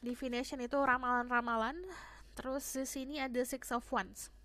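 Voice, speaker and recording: A young woman with a hoarse voice, chatting casually as she gives a tarot reading to her viewers. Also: a little high, level tone, normal speed, a bit bright. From Indonesia. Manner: chatty, switches between Indonesian and English phrases. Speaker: tarot reader.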